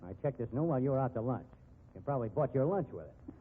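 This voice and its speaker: A man's voice: mid-range voice, animated, fast talker, a little hiss or hum, close to an everyday voice. An actor playing a crooked, bullying private investigator in a 1950s radio drama, with slangy, sneering tough-guy talk. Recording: tinny band-limited 1950s radio recording.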